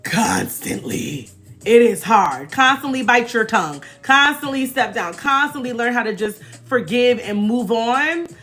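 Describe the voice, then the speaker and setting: Casting: American woman, 30s, high and very bright voice, playful livestream storyteller, chatty and teasing. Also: measured pace, faint music behind. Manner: animated, playful, teasing, laughs often. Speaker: livestream host. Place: small indoor room in front of a banner.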